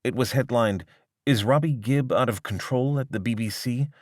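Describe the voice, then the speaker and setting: A man reading a scripted piece of long-form journalism as narrator, in a measured, articulate way. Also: mid-range voice, no room sound, studio quality.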